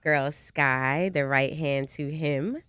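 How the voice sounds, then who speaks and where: A woman's voice: sits low, fairly even intonation, takes it slowly, fairly bright tone, smooth, dry recording. A woman speaking casually as an interview guest. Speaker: stage actress guest.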